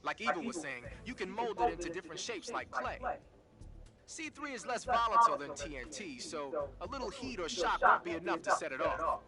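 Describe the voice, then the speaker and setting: An actor playing a brisk, knowledgeable military support officer in a video game, explaining and instructing with emphasis. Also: male voice, high-pitched, animated. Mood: instructive. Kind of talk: video game radio briefing. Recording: loud music under the voice.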